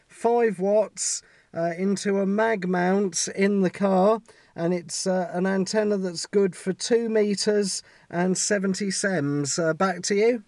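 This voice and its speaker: A man's voice: high voice, slow pace, a little mellow, dry recording. An easygoing, chatty man making casual amateur radio contact as an operator. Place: in and beside a parked car outdoors.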